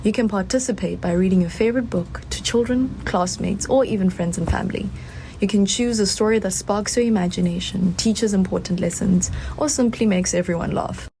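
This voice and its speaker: A woman delivering scripted explainer narration in an even, informative style. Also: mid-range voice, brisk, rough recording. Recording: faint background noise.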